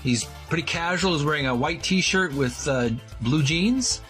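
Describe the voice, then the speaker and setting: A man presenting and reading aloud as a video host, in a deliberate, emphatic way with broken phrasing. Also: on the high side, fairly bright tone, faint music behind. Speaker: host of a video series on retro game art. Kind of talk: casual presenting and slow, halting read-aloud from a book.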